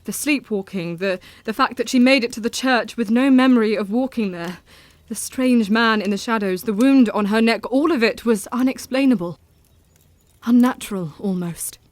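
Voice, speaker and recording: A woman's voice: a little high, dry recording, close to an everyday voice. Woman playing a proper victorian wife in an audio drama, composed, earnest, resolute, close dry studio sound. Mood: uneasy. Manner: formal, earnest, deliberate. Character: composed, resolute Victorian wife, frank and troubled, Mina Harker.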